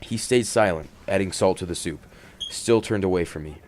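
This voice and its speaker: A man hosting and reading horror stories in an expressive, dramatic way, moving between hushed and emphatic delivery. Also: deep voice, moderate pace, slight background noise. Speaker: horror story reader and host. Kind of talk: dramatic first-person horror narration with casual commentary.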